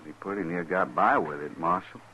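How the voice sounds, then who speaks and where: A man's voice: low-pitched, fairly quick, bright, forceful tone, slightly rough, heavily acted. An actor playing a humble, puzzled frontier man in a 1950s radio western, folksy, hesitant and deferential. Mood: grateful, marveling. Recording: muffled 1950s radio recording.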